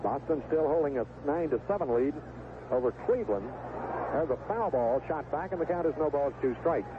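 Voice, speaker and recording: A man's voice: a little high, expressive, brisk. Tinny vintage radio baseball announcer, male. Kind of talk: live radio sports commentary. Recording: noisy, tinny old AM radio broadcast.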